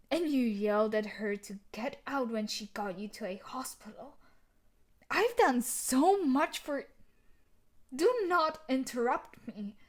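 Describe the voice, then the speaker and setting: A woman playing a tough, sadistic, possessive bully fiancée in a dramatic audio roleplay, scolding and emotionally intense. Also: on the high side.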